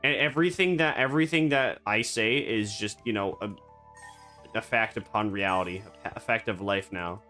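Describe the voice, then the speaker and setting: A man streaming a game, rambling and joking in absurd deadpan banter. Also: lowish voice, normal speed, faint music behind. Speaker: VTuber streamer. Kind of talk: casual gaming stream chatter.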